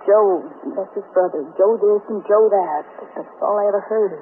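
A woman's voice: medium pitch, moderate pace, natural voice. An actress playing a weary young woman separated from her husband, in a plaintive, complaining manner, in a 1950s radio drama. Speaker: witness. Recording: tinny lo-fi old radio broadcast with hiss.

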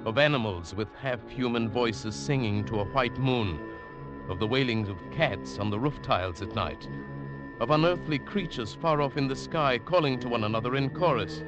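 An actor playing an uneasy traveller who narrates an eerie tale in a dramatic 1940s radio drama style. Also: male voice, medium pitch, lightly acted. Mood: mounting dread. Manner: dramatic, hushed swelling to agitated. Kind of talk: 1940s radio drama acting, first-person scripted narration. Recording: old radio broadcast with music.

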